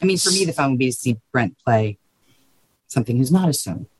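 A woman with a low voice answers as a guest writer in a casual interview. The sound is dry and close, and she talks in a thoughtful, measured way. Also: expressive, a little mellow, breathy edge, no room sound, rough recording.

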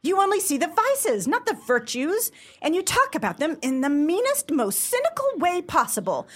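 A woman playing an indignant, sensitive, sharp-tongued young woman in a radio play, with theatrical, emphatic delivery. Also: extremely high pitch, huge pitch swings, moderate pace, heavily acted. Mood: furious.

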